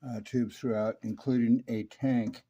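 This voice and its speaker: Mellow-voiced man giving a hands-on walkthrough. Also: mid-range voice, level tone, unhurried, lo-fi sound. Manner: methodical, step-by-step explaining. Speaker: radio repair technician presenting his restoration work. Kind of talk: hands-on demonstration narration to a customer.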